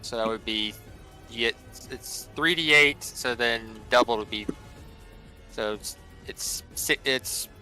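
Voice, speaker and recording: A man in casual tabletop game talk, explaining rules haltingly and often repeating his words. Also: mid-range voice, pushed and bright, soft background music, poor audio.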